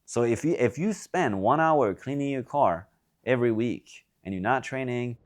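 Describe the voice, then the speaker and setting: A man's voice: mid-range voice, normal speed. A man from France, a personal trainer and gym founder, talking frankly and reflectively in a casual interview, with frequent hesitations.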